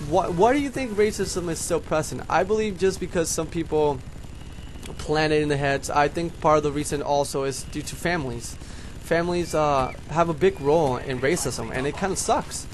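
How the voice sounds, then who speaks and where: A man's voice: a little high, normal speed, soft background music. A young man from Puerto Rico whose first language is Spanish, speaking with a Puerto Rican accent and a slight lisp, rambling casually to his viewers as homies. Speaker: YouTuber. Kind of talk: casual vlog monologue.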